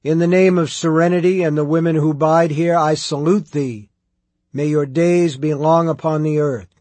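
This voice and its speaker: A man voicing a huge, imperious but good-humored prioress in an audiobook, with a rolling, booming voice and archaic formal speech. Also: steady tone, normal speed, darkish tone, dry recording, lightly acted. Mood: hearty greeting.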